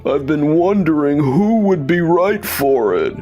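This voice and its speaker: Manga dub: authoritative father and company boss male actor, deliberate slow delivery, slightly high register, music underneath. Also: animated, dark tone, muffled recording. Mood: solemn.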